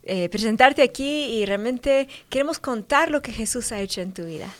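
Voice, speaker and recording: A woman's voice: fairly high pitch, animated, moderate pace. A woman hosting a conversational faith interview on radio, speaking with her guest. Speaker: radio show host.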